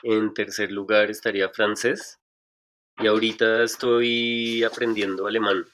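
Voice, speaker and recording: Slow, flat-voiced middle-aged man. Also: lowish voice, slight background noise. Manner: hesitant, thoughtful, many restarts. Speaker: guest, stage performer. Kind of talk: interview answers.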